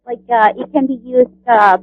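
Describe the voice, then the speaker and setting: Woman, high voice, lo-fi line. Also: steady tone, no echo. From Honduras. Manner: friendly, halting with many fillers. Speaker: guest, cookbook author. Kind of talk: radio interview.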